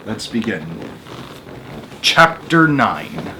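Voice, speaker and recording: A man's voice: fairly low pitch, very animated, very slow, background noise. A man reading a children's story aloud as narrator, stepping out for casual, conversational comments on the text. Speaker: narrator and host of a story-time show.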